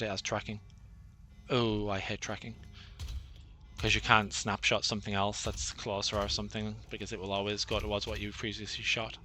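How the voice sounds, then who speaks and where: Male gameplay commentator, fairly low-pitched, bright and forward tone, monotonous and rambling, self-deprecating. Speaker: gaming channel host.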